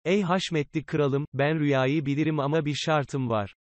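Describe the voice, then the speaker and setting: A man's voice: on the high side, steady tone, moderate pace, dry recording, natural voice. A man playing a poor, anxious villager in a cartoon fairy tale, pleading in a folksy way. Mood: humble, bargaining. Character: poor, anxious married villager, bargaining humbly with a king.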